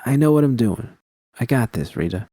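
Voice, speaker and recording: A man's voice: medium pitch, expressive, moderate pace, dark tone, no room sound, clean studio sound, close to an everyday voice. A man voicing a jaded, guarded woman in an audiobook, defensive and weary. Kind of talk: audiobook character dialogue.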